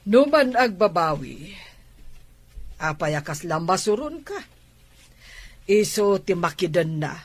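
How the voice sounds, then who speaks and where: A man playing a measured, resigned older parent in a radio family drama, reasoning deliberately. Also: high-pitched, sing-song, full character voice.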